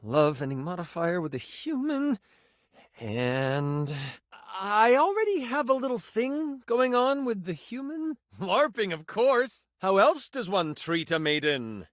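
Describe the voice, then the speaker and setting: Video game role: excitable nerdy role-player, high and hugely animated, mock-chivalrous yet easily flustered. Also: male voice, a little slow, no echo, studio quality, exaggerated voice. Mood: grandly chivalrous. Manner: theatrical, swinging from mock-chivalrous flourish to casual awkwardness. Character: theatrical, nerdy, flustered datable game character who role-plays chivalry.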